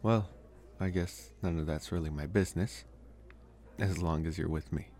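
Man as a low-voiced, hesitant vampire boyfriend. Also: moderate pace, warm tone. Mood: tender, hesitant. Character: young male college vampire boyfriend, teasing, awkward, affectionate. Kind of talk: ASMR boyfriend roleplay, addressed to the listener.

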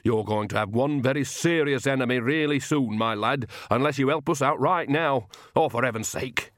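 A man voicing a bossy, folksy old woman, a brisk and earthy witch in a storybook. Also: mid-range voice, fairly bright tone, no echo, studio quality, close to an everyday voice. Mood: brisk, exasperated.

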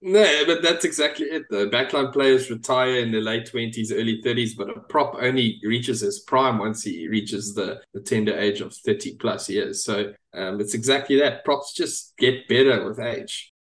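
A man's voice: mid-range voice, moderate pace, few pauses, a bit bright. A man from South Africa co-hosting a casual sports chat, talking loosely with fillers and checking in with "right?". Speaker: podcast co-host.

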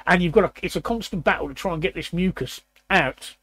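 A man in his sixties with a croaky, raspy voice, hosting a casual chat and often clearing his throat. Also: sits high, a little fast, fairly bright tone. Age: 60s. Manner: repeats words, frequent throat clearing. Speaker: host.

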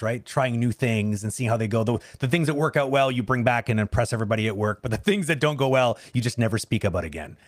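A man's voice: medium pitch, no room sound, studio quality. A man hosting an online interview, talking in a rambling, hesitant way with many restarts and fillers over a video call. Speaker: interview host.

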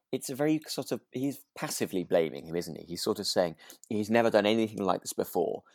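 A man co-hosting a relaxed discussion podcast, reflective and halting as he rephrases his thoughts. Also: fairly low pitch, no room sound, studio quality. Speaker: podcast co-host. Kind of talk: relaxed radio drama discussion chat.

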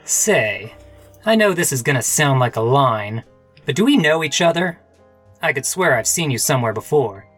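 Noir radio detective female actor, male role: very deep for a woman, deadpan, hard-boiled, wry, light music underneath. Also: normal speed. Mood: smooth, probing. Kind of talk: radio-play noir narration and dialogue.

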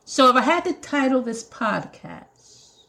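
Gravelly Jamaican woman preaching. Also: high-pitched, expressive, unhurried, bright, forceful tone. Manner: earnest, emphatic, with restarts. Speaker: podcast host. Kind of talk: preaching podcast monologue.

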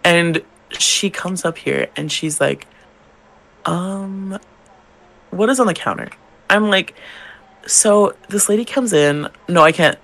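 Young male drag queen podcaster, slightly high gravelly voice, chatty and animated. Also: takes it slowly, pauses often. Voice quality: gravelly, feminine-leaning voice. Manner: animated, swearing, acts out customers' lines. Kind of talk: casual storytelling chat.

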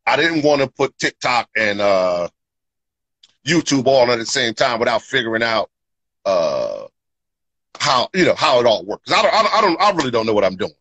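Bright, upbeat podcast host, dry close mic. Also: male voice, medium pitch, very animated, moderate pace, no echo, highs cut off. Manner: upbeat, filler-heavy, repeats "you know what I'm saying". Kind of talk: casual live podcast chat. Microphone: podcast microphone.

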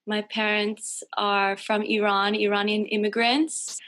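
A woman's voice: medium pitch, little pitch movement, a bit bright. A woman in her thirties, a musician, answering interview questions thoughtfully over a video call. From United States. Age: 30s. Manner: thoughtful, slow, searching. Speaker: guest musician. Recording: lo-fi call audio.

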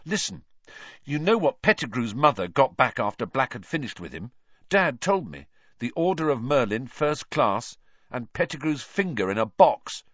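A man voicing a blunt, excitable teenage boy, a worried loyal friend in a novel, speaking sharply. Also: medium pitch, a little fast, breathy edge, dry recording, dull audio, voice pushed up, exaggerated voice. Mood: furious. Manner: sharp, exclamatory.

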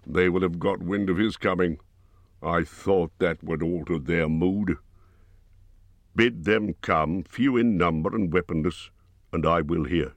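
A proud, wrathful dwarf king with a deep, low voice that drags out each stern word, as a man reads him aloud. He speaks slowly and forcefully, and the sound is dry and close. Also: no room sound. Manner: slow, stern, forceful delivery.

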